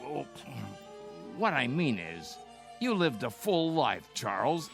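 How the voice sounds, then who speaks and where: Gravelly, crotchety old man. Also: mid-range voice, very animated, slow, over music. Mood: alarmed, scolding. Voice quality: creaky, gravelly. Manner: fretful, scoffing, stammering. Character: crotchety, worried old man, stubbornly down-to-earth. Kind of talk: radio drama acting.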